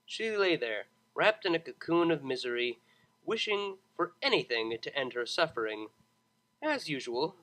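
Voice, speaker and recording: Animated, bright-voiced man narrating a story. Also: a little high, rough recording. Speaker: narrator, fan fiction audiobook reader. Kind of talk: expressive scripted story narration.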